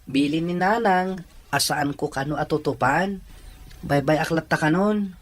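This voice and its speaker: A woman playing a gentle young man in an audio drama, a dutiful and devoted figure. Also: deep voice, measured pace. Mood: reassuring. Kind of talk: acted Ilocano audio drama.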